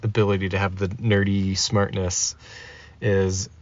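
A man's voice: low voice, level tone, measured pace. A man chatting casually as a podcast guest. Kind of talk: casual chat. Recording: dull lo-fi sound, faint noise.

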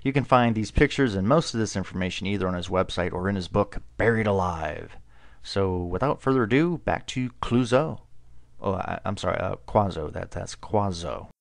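Sarcastic man narrating, lively pitch. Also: moderate pace, vocal fry. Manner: mocking, deadpan sarcasm. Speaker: video narrator. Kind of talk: sarcastic scripted voice-over.

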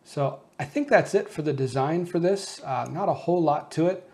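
A man's voice: on the high side, moderate pace, mellow, husky. Man casually explaining his homemade design. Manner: conversational, unscripted. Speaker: host of a 3D printing show.

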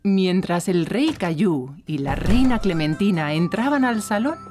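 A woman's voice: lowish voice, expressive, unhurried, dark tone, soft background music. A woman narrating a scripted story for young children.

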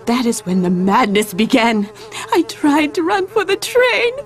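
A woman's voice: sits high, sing-song, a little slow. An actress voicing a frail, grieving old village woman who trembles and cackles as she speaks. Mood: haunted. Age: older.

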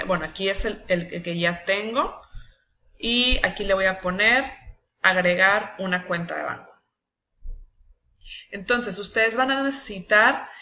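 Bright young Mexican woman explaining steps. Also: medium pitch, lo-fi sound. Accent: Mexican Spanish. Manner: patient, step-by-step explaining with frequent checks like "¿sí?" and "¿ok?". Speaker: nutritionist walking team members through a website. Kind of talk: screen-recorded tutorial.

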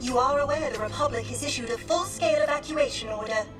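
A woman's voice: high-pitched, fast, distant mic, over music, natural voice. An actress voicing a polite, dutiful, naive female droid servant in a video game cutscene, formal and courteous.

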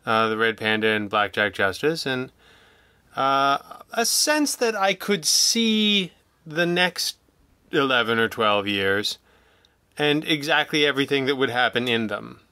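A man with a bright, forceful voice in a very dry recording. He chats casually as an interview guest, feeling his way haltingly through digressions and self-interruptions. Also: mid-range voice, expressive, clean tone, dry recording. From Canada. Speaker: podcast guest, audio drama writer and producer.

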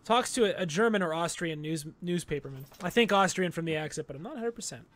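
A man's voice: on the high side, expressive, quick pace, fairly bright tone. A middle-aged man co-hosting a podcast, chatting casually and joking while he recaps, on a studio mic from home. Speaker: podcast co-host. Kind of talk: casual chat. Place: home room, indoors. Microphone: studio mic on a video call.

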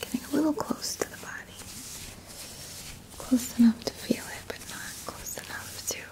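A woman in her thirties doing gentle, soothing ASMR in a breathy whisper, close to the mic with unhurried pauses. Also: high-pitched, poor audio. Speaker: ASMR host. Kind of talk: ASMR, soft guided relaxation roleplay. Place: small indoor room.

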